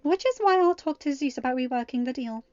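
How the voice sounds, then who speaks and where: A woman voicing a protective, regal goddess mother who speaks in a measured, firm and dryly amused way, in a storybook reading. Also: high voice, animated, darkish tone, dry recording, lo-fi sound, pitched above the performer's own voice. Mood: firm, caring.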